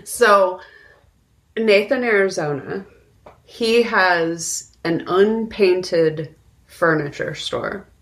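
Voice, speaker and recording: Slow-talking, lowish-voiced woman discussing films. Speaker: podcast co-host. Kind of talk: casual film discussion.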